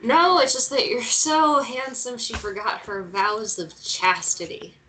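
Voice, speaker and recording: A woman's voice: fairly high pitch, lively intonation, measured pace, pushed and bright, far from the mic, poor audio. A woman playing an inquisitive, plain-spoken and wry journalist in a screenplay table read. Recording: clear background noise.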